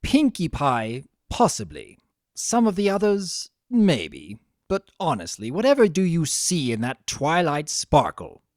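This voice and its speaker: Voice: mid-range voice, wildly swooping pitch, unhurried, no room sound. Reader putting on a sly, theatrical chaos dragon, wildly swooping. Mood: dismissive. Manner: dry, sardonic, mock-dramatic. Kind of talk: fan fiction dramatic reading.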